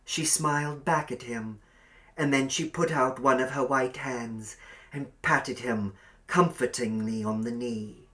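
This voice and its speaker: A man's voice: mid-range voice, fairly even intonation, measured pace, sharp tone. A man reading a short story aloud as a scripted audiobook narrator.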